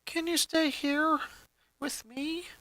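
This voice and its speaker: Man doing a young boy, very high pitch, shy, sensitive, hesitant, storybook reading, dry sound. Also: steady tone, pushed and bright, no room sound, heavily acted, rough recording. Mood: shy, sheepish. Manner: halting, uncertain.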